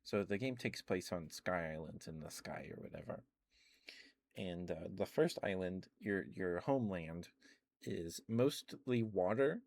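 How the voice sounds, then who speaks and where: A man speaking softly in a chatty ASMR ramble, close to the microphone, meandering with soft chuckles. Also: deep voice, expressive, measured pace, gravelly. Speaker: ASMR streamer.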